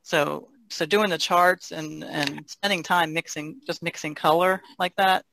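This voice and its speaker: Older woman, creaky bright voice. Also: low voice, fairly even intonation, unhurried, slight background noise, poor audio. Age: older, about 60s. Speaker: painter, podcast guest. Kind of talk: casual explanatory chat.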